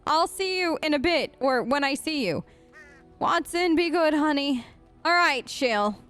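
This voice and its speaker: A woman's voice: high-pitched, animated, measured pace, a bit bright, faint music behind. A woman hosting a role-play let's play, improvising her character's side of the dialogue in a chatty, conversational way. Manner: chatty, conversational, reacts with questions.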